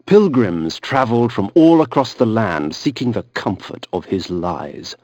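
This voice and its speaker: An actor voicing a cynical, aristocratic vampire antihero, narrating a dark video game with eloquent, theatrical disdain. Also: male voice, lowish voice, big pitch swings, unhurried, no echo, lo-fi sound. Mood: cynical, brooding. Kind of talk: dramatic scripted game narration.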